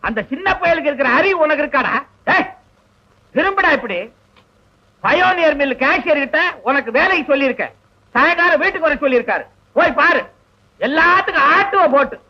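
A man's voice: extremely high pitch, expressive, a little fast. An actor playing a short-tempered, middle-aged father who mocks and scolds his grown son. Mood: scolding. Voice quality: sharp, mocking scolding. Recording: muffled old film soundtrack.

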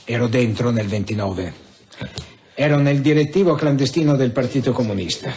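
An actor in an Italian radio drama playing a sardonic senior police official who questions in a measured, probing way. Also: male voice, medium pitch, a little mellow, highs cut off, lightly acted. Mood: dry, insinuating.

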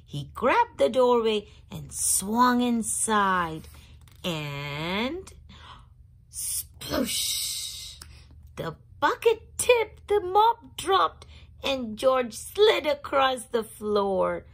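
A woman's voice: fairly high pitch, very animated, very slow, fairly bright tone. A woman reading a children's story aloud expressively, drawing out key words for young listeners. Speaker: storybook reader.

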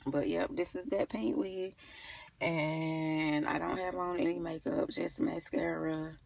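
Gravelly young woman chatting casually. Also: deep voice, steady tone, slow pace, a little mellow, no echo. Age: young adult. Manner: informal, rambling, thinking aloud. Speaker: beauty vlogger. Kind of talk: casual chat to camera. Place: inside a parked car. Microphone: phone at arm's length. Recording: slightly distant phone recording.

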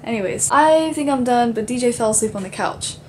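A woman's voice: on the high side, lively intonation, fairly quick, darkish tone, slight background noise. Chatty young woman vlogging at home. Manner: chatty, rambling with many fillers. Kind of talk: casual chat to camera. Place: dim bedroom at home. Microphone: handheld camera at arm's length.

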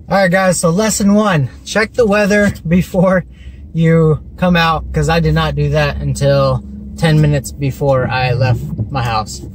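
A young man talking casually to the camera in a relaxed, chatty way with lots of fillers, first in a store and then from his car. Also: a little high, slight background noise. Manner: relaxed, chatty, self-mocking, many fillers. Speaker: fishing vlogger. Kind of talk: casual vlog talk to camera. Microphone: camera mounted on dashboard.

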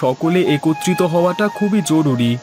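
A man's voice: fairly high pitch, lively intonation, normal speed, music in the background, lightly acted. A man playing a resolute, reassuring village man in a fairy tale cartoon. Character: calm, resolute village man, Kishore the elder brother.

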